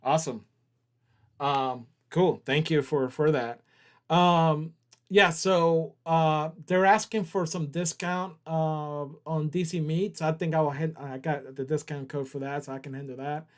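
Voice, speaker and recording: A man's voice: a little high, fairly even intonation, unhurried. A man hosting a casual livestream, joking around and reading viewer chat aloud. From Southern US. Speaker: livestream host.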